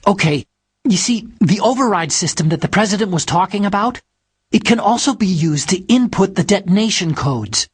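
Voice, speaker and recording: A man's voice: sits high, lively intonation, normal speed, husky, dry recording. An actor, a man, playing a brainy, eager technical expert who gives precise explanations over the radio in a video game. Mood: eager explaining.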